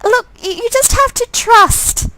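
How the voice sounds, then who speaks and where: A woman's voice: extremely high pitch, unhurried, sharp tone, dry recording, voice pushed up, heavily acted. A woman voicing a caring but evasive young shop worker in a visual novel game, earnest and defensive. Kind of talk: acted visual novel dialogue.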